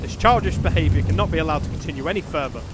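A man's voice: fairly high pitch, very fast, noisy background, natural voice. A man playing a pompous, self-important leader of adventurers in a comedy fantasy audio drama. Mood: stern. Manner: pompous, officious. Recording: rain and thunder behind.

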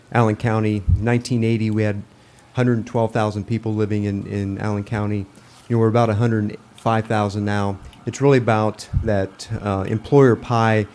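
Low, mellow-voiced man addressing a council. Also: normal speed. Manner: steady, with many "you know"s. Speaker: economic development presenter. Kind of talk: report to city council. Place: council chamber, standing before seated audience.

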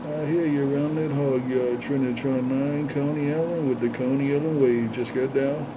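A man's voice: medium pitch, steady tone, moderate pace. A man talking on CB radio, repeating catchphrases in a showy chant. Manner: repetitive, showy chanting of phrases. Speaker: CB radio operator. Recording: dull lo-fi, loud radio static noise.

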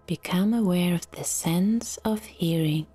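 A woman guiding a relaxation meditation in a soft, soothing, half-whispered voice with long pauses. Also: lowish voice, husky, faint music behind. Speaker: yoga nidra guide.

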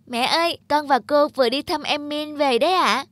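A woman's voice: high voice, normal speed, fairly bright tone, no room sound. A woman voicing a sweet, polite little schoolgirl in a cartoon, childlike and innocent. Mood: earnest. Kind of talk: animated moral tale dubbing.

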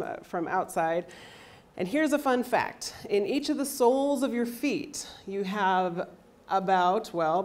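A woman in her thirties giving a health lecture into a handheld mic in a university lecture hall, loud and energetic, often checking in with the audience. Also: fairly low pitch. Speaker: athletic trainer and lecturer. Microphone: handheld microphone.

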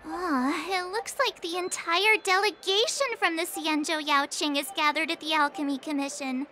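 A woman's voice: very high-pitched, fairly even intonation, moderate pace, sharp tone, a little hiss or hum. A woman voicing a perky, earnest teenage sword apprentice in an anime game. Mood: curious, friendly.

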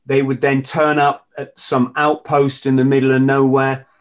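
British bloke, middle aged, hosting a casual podcast, average pitch, tells little anecdotes. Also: male voice, monotone, normal speed. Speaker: podcast host, former marine. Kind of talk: casual interview. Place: indoor room, video call.

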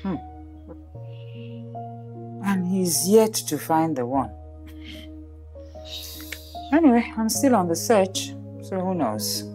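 A woman's voice: lowish voice, very animated, slow pace, long pauses, mellow, soft background music. An actress playing an imperious, sardonic older mother-in-law, haughty and commanding. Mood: coolly gracious. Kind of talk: film drama acting.

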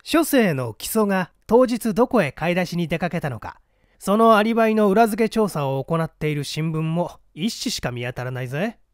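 A man's voice: high-pitched, animated, moderate pace, no echo, studio quality. A man voicing a shrewd, condescending scholar-detective in a mystery reading, lecturing methodically and a little pompously. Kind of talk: dramatic storybook reading of a mystery.

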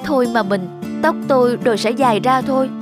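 Woman as a gentle poor young wife, music behind. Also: on the high side, a little fast, lightly acted. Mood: gentle, resigned. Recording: background music throughout.

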